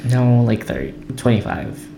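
A man's voice: medium pitch, very animated, measured pace, creaky, dark tone. A man born in the Philippines co-hosting a podcast in sassy, casual banter. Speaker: podcast co-host. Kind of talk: casual chat. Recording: echoey room, faint room hiss.

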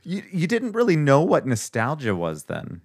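A man's voice: a little high, wildly swooping pitch, normal speed, no echo, studio quality. A man co-hosting a podcast in casual chat, laid-back, laughing often and swearing casually. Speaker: podcast co-host.

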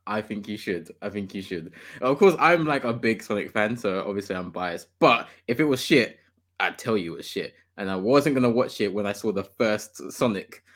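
Male podcast host, lowish voice, chatty and opinionated, laughs a lot. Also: animated, moderate pace, fairly bright tone. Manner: enthusiastic, opinionated, laughs often. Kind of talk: casual gaming podcast chat.

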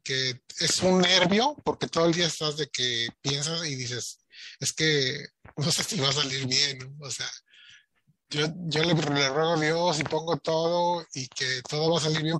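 A man's voice: on the high side, moderate pace, sharp tone, slight background noise. A man hosting a podcast in casual chat, rambling and filled with filler words. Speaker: podcast host.

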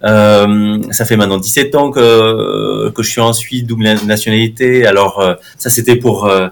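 A man's voice: lowish voice, fairly even intonation, normal speed, vocal fry. A middle-aged man from France, answering interview questions in a conversational way, with many fillers and restarts. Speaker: guest, marketing institute dean.

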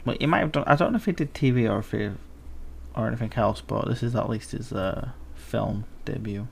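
Young man, gravelly voice, laid-back, dry remarks, faint hiss behind. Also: medium pitch, fairly quick. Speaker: podcast co-host. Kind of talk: casual film discussion.

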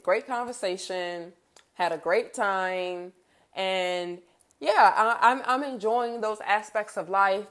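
Candid thirty-year-old woman chatting casually. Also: medium pitch. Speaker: podcast host. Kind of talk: candid personal monologue, casual chat.

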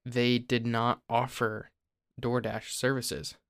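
A young man from Ohio, host of a podcast, explaining things in a relaxed, casual way with small restarts. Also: medium pitch, takes it slowly, creaky, smooth, dry recording, studio quality. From Ohio, USA.

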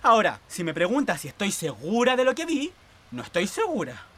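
Actress as a panicky, superstitious Chilean housemaid, swooping pitch. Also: female voice, huge pitch swings, fairly quick. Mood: confused, anxious. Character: Nélida, excitable, fearful, superstitious housemaid from the south. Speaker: actor in a radio play. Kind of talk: Spanish-language radio theatre acting.

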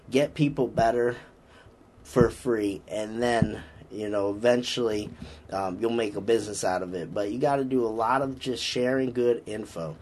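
Casting: Boston-accented man, lowish voice, easygoing strength coach on a podcast, faint hum behind. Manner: rambling, frequent fillers and restarts, upbeat about lifting. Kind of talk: casual podcast chat.

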